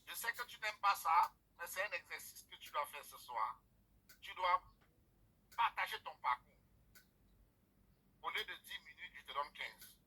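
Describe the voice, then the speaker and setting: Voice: mid-range voice, lively intonation, a little slow, long pauses, sharp tone, husky. An event host giving a ceremonial introduction of a speaker, emphatic and rallying the audience. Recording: tinny phone-like recording.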